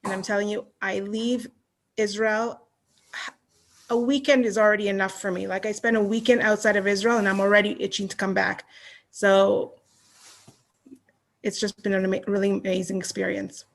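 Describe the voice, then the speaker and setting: A woman's voice: mid-range voice, little pitch movement, normal speed, vocal fry, pauses often. A middle-aged Canadian woman chatting as an interview guest, rambling with many restarts and fillers. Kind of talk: casual online chat.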